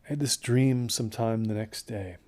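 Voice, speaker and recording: An actor playing a traumatized, exhausted man recounting a doomed desert trek in a halting, hushed horror audio drama narration. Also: mid-range voice, close to an everyday voice. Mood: dazed, hushed. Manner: halting, hushed, haunted delivery.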